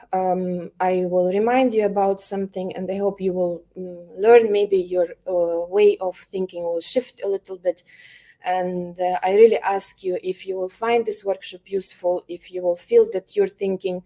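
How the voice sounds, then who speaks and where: A woman from Ukraine, an elementary teacher, presenting an online workshop over a video call, speaking with many pauses, fillers and restarts. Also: lowish voice, mellow.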